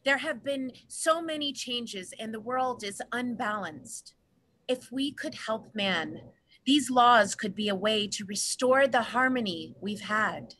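Earnest animal peacemaker, female actor, high voice, slow, measured, stage drama. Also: lively intonation, a bit bright, a little hiss or hum, poor audio. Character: Ice Traveler, earnest peace-seeking animal council member. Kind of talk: dramatic play reading.

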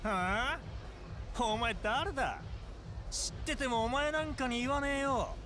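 A man voicing an insolent, excitable young gang underling in a video game, with a sneering delivery. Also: very high-pitched, unhurried, over music. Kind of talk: video game cutscene voice acting.

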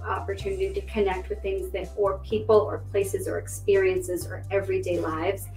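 A woman's voice: medium pitch, flat delivery, normal speed, a little mellow, faint music behind, rough recording. A woman panelist speaking earnestly and emphatically about why children's books matter. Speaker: publishing staff panelist. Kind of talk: panel discussion.

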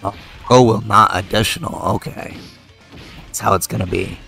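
A man's voice: on the low side, moderate pace, vocal fry, soft background music. A man casually commenting on a mobile game as he plays it, thinking aloud. He is losing his voice from being a little sick. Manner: relaxed, thinks aloud with fillers while playing. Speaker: mobile game video creator.

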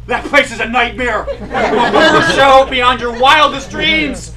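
A man's voice: very high voice, unhurried, over a noisy crowd. A man playing a desperate man pleading to get his old job back in a live staged sitcom reading, talking in a frantic, pleading way. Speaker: stage actor. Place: small theater stage. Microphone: far camera. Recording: distant mic, audience in the room.

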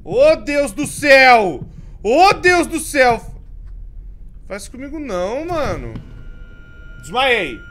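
A Brazilian man in his thirties, a streamer giving jumpy commentary as he plays a horror game, swinging from mumbles to yells. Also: very high voice, very animated, slow, long pauses, slight background noise. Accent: Brazilian Portuguese. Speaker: gaming streamer. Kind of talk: let's play commentary. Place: home streaming room with colored lights.

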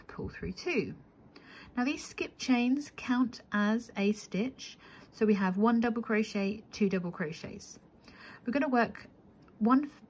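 Husky-edged woman giving craft instructions. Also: medium pitch. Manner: methodical, counting steps aloud. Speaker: crochet tutorial instructor. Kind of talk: step-by-step craft tutorial narration.

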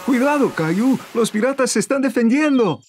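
Patient cartoon father, gentle and encouraging, hugely lively intonation, slightly high pitch. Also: moderate pace, faint music behind. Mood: playful, encouraging. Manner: gentle, explanatory. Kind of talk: children's cartoon dub.

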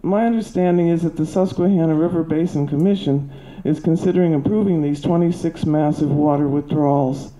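An older woman with a soft voice giving an earnest, halting public comment at a podium into a handheld mic. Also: low-pitched, steady tone, low-quality recording. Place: meeting hall at a podium. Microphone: handheld microphone.

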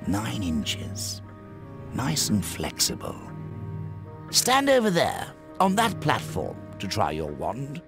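An actor playing an eerie, courteous old British wand shopkeeper in a video game, speaking deliberately with theatrical pauses. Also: male voice, medium pitch, vocal fry, exaggerated voice. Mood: instructing, brisk. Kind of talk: game cutscene acting. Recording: loud music under the voice.

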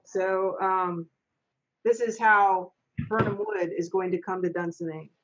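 A woman's voice: medium pitch, steady tone, unhurried, a little hiss or hum. Dull-sounding video call, woman stage manager. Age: middle-aged. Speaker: stage manager and narrator of a readers' theater. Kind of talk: reading stage directions and coaching readers. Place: small home office. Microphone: video call, computer microphone.

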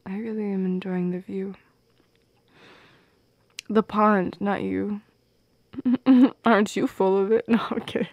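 A woman playing a shy, teasing goth girl in an intimate ASMR romance roleplay, speaking softly and breathily, often near a whisper. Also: medium pitch, dry recording. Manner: soft, breathy, often whispering, giggly, lingering pauses. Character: shy, teasing goth girl confessing love, affectionate and playful.

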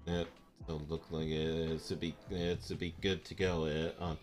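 A young man streaming a game live from his room, talking in halting bursts full of fillers and repeated words. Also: a bass voice, little pitch movement, faint music behind. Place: home room, indoors.

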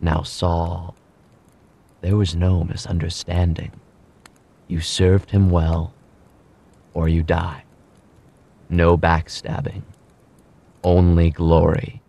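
A ruthless loyal henchman, really low voice, brooding dramatic monologue. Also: monotone, slow pace, gravelly, pauses often, warm tone, exaggerated voice. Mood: bitter, brooding. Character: ruthless, loyal male follower recounting a strongman's rise.

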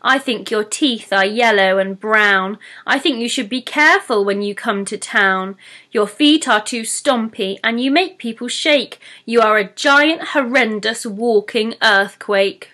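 A tiny female pixie from a children's storybook, played by a woman. The voice is squeaky, high and bright. She sounds anxious and blurts her words out helplessly. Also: a little slow. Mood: blurting insults.

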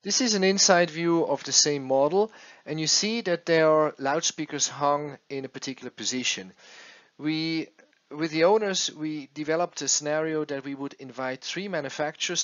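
A man working as an acoustics consultant explains a case study over slides in a halting way, repeating words as he goes. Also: fairly high pitch, fairly bright tone, dull audio. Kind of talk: slide presentation, explanatory narration.

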